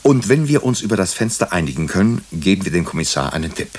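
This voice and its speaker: A doctor who investigates on the side in a radio drama. His voice is extremely deep and husky. He speaks in a dry, self-mocking, ironic way. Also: sing-song, fast, darkish tone, heavily acted. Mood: wry, bantering. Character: male doctor, wry amateur sleuth, narrating his own story. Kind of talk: radio drama dialogue and first-person narration.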